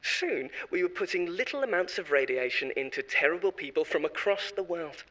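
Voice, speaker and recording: A chuckling, self-justifying corporate insider for a horror audio drama. His voice sits high and bright, with pitch that leaps around as he spills dark secrets. He sounds glib and unbothered, brushing each admission aside. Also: animated, brisk, poor audio. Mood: flippant.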